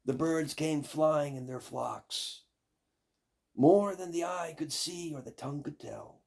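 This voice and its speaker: An older man with a gravelly, raspy voice reading a fairy tale aloud in a measured, expressive storytelling manner. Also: fairly high pitch. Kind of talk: scripted fairy tale narration.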